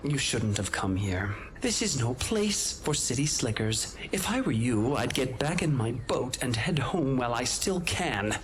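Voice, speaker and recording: A hostile local villain from an adventure game, scornful of outsiders. His mid-pitched voice rises and falls sharply as he threatens, with background ambience around him. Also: big pitch swings, a little hiss or hum. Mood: menacing. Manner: menacing, slow, threatening. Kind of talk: scripted adventure game dialogue.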